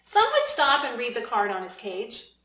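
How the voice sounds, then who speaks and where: A woman reading a children's storybook aloud as its author, in an expressive, deliberate way with emphasis for young listeners. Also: a little high, a little hiss or hum. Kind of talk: storybook read-aloud narration. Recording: echoey room.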